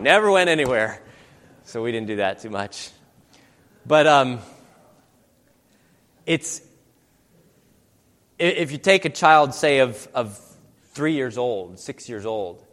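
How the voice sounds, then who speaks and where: A man's voice: mid-range voice, fairly bright tone. A male preacher talks to his congregation in an easy, conversational tone. He asks question after question. His mid-range voice carries a long reverb from the church hall. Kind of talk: sermon.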